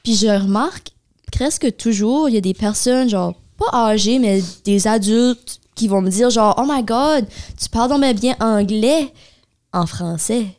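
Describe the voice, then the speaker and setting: A woman's voice: a little high, measured pace, darkish tone. A young woman with an Acadian French accent, chatting casually on a podcast and mixing English words into her French. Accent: Acadian French, New Brunswick. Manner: mixes English words into French, many fillers. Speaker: podcast co-host. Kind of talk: casual chat.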